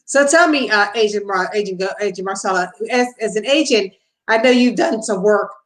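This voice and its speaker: A woman's voice: medium pitch, fairly quick. Lady interviewer, restarts her questions a lot, echoey room. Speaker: host.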